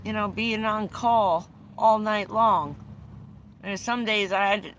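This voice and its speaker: A woman's voice: mid-range voice, fairly bright tone, slight background noise. A middle-aged American woman hosting a livestream from inside a vehicle, chatting in a rambling way, laughing often and swearing casually. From United States. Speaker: livestream host.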